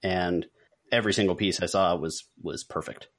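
A man's voice: low-pitched, steady tone, normal speed, fairly bright tone. A man co-hosting a podcast, chatting casually. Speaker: podcast co-host. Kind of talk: casual chat.

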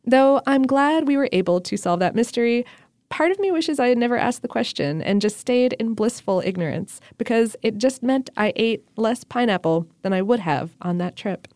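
A woman's voice: fairly high pitch, animated, normal speed, a little mellow, no echo. A woman co-hosting a podcast, chatting casually with dry humor and reading out listener letters. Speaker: podcast co-host.

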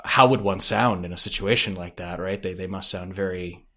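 Low-voiced man explaining his craft. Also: steady tone, moderate pace, a bit bright. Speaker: podcaster guest. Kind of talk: reflective interview talk.

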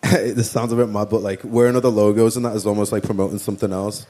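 A man with a Liverpool accent chats loosely as an interview guest. His voice sits a bit low and sounds warm and mellow. He rambles easily, leaning on "like" and "you know what I mean". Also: little pitch movement, fast talker. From Liverpool, England. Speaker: musician guest. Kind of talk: casual interview chat.

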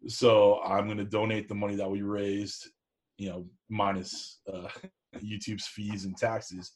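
A man's voice: on the low side, level tone, measured pace. A man in a casual conversation, talking in a rambling, folksy and plain-spoken way as a show host. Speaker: co-host of an online cooking show, interview guest.

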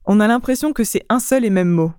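A woman hosting a language lesson, explaining clearly in a teacherly way. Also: medium pitch, fast, a little mellow, dry recording, studio quality.

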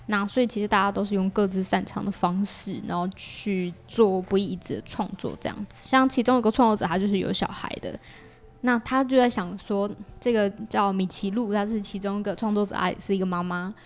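A woman's voice: medium pitch, steady tone, brisk. A woman with a Taiwanese Mandarin accent explains things in a chatty way as a guest in a casual podcast interview, often repeating her agreement. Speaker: podcast guest, exhibition project creator.

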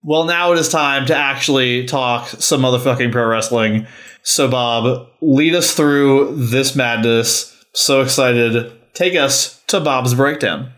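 A man's voice: medium pitch, slow pace, fairly bright tone. A man hosting a wrestling podcast, moving between wordy, enunciated quizmaster delivery and casual chat. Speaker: podcast host.

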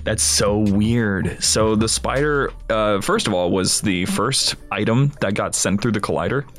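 A man's voice: on the low side, a little slow, a bit bright, faint music behind. A man hosting a podcast, chatting informally and with amusement through a casual film recap. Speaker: podcast host.

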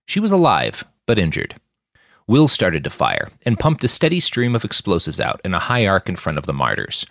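Male narrator for fiction podcast. Lowish voice, hugely varied intonation, dry close sound. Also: moderate pace, gravelly, dry recording. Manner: expressive, acting out dialogue. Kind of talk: dramatic audiobook-style narration of military science fiction.

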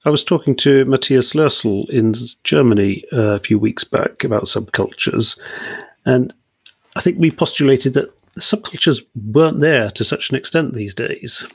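A middle-aged man from northern Norway, musing in a measured way in a casual conversation. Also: mid-range voice, breathy edge, no room sound.

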